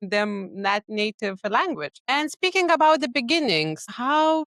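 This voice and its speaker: A woman's voice: on the high side, very animated, unhurried, dry recording. Effusive woman podcast host, dry sound. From Lithuania. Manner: warm, effusive, searches for words. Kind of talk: podcast interview.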